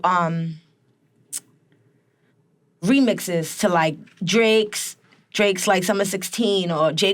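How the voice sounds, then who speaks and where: A young woman with a raspy voice, a musician talking candidly in a casual, slangy interview. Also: medium pitch. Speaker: guest musician and rapper.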